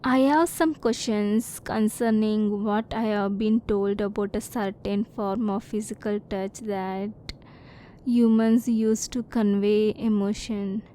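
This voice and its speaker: A woman voicing a curious, reserved female alien in an ASMR roleplay, speaking softly in formal, stilted phrases, at times close to a whisper. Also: mid-range voice, fairly even intonation, takes it slowly, close to an everyday voice, rough recording. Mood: curious, composed. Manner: soft-spoken, sometimes near whisper.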